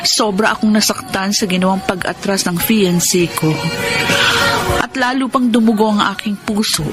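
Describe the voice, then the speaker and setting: Actress as sorrowful Filipina letter-writer over loud music. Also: female voice, medium pitch, natural voice. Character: sorrowful woman recounting her painful past in a letter. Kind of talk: scripted dramatic first-person radio narration. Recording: loud music bed under narration.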